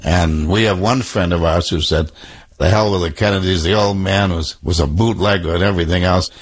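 An older man with a strong Boston accent and a husky voice, telling a casual anecdote in an interview. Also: sits low, fairly even intonation, a little fast.

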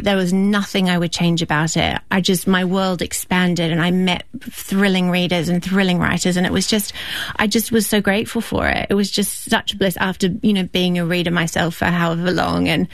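A woman in her forties from New Zealand, talking through an interview in a reflective way. Her voice sits low and has a gravelly, creaky edge. The sound is close and dry, with no room around it. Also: no echo. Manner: thoughtful, with soft restarts and fillers. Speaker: novelist interviewed.

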